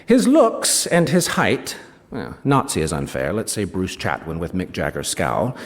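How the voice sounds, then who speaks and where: A wry British male novelist tells his own story in a low, animated voice. He savours his phrases and drops in small asides, like literary fiction read from a stage. The sound rings in a reverberant hall. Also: unhurried, background noise, heavily acted. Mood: fond, wry. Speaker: actor reading a short story aloud. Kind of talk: dramatic first-person literary reading before an audience.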